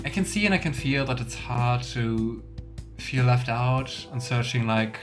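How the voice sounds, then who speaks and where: A woman's voice: extremely low pitch, lively intonation, unhurried. Chatty young woman commenting on a game. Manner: chatty, opinionated, filler-heavy. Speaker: streamer voicing a game. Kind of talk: let's play commentary. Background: game music.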